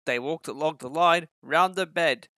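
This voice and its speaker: A man's voice: fairly high pitch, moderate pace, pushed and bright, clean tone, no echo, studio quality. A man reading a children's story as a scripted narration.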